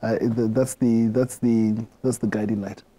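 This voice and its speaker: A middle-aged man with a Zimbabwean accent, answering interview questions in a reflective way, often halting and repeating words. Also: on the low side, little pitch movement, warm tone, poor audio. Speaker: interview guest.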